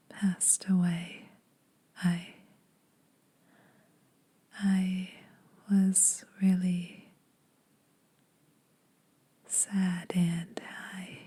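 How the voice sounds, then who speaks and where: Girl doing asmr vampire lady, super slow breathy whisper, dark soft voice, kinda teasing but kind. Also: on the low side, full character voice. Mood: wistful, subdued. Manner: slow, intimate, dryly teasing. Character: teasing, lonely female vampire, ageless, secretly kind to mortals.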